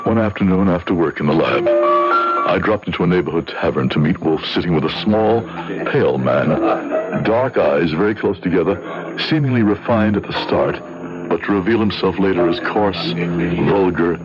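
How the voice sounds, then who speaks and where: An actor playing a conscience-stricken young medical student, speaking and narrating formally and earnestly in old radio style. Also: male voice, sits low, normal speed, over loud music, close to an everyday voice. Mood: regretful. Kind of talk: old-time radio drama acting and narration. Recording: dull lo-fi radio drama recording.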